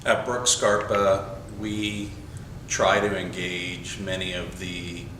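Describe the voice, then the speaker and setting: A male architect talking in a documentary interview, measured, listing ideas one by one, recorded in an echoey room from a distance with background noise. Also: sits low, level tone. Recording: echoey room, mic set far off, noisy.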